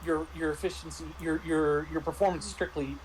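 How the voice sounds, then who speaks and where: A man's voice: fairly high pitch, little pitch movement, fairly quick, slight background noise, poor audio. A middle-aged man chatting casually as a game master, stammering and repeating words as he explains things. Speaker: game master reviewing a tabletop RPG. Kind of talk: casual chat.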